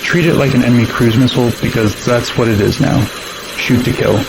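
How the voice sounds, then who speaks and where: An actor playing a stern military air traffic controller in an audio drama, with a clipped, commanding manner. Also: male voice, medium pitch, fairly quick, natural voice. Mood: hard, insistent. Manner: clipped, commanding radio procedure. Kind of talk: modern audio drama acting. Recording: radio chatter with clear background noise.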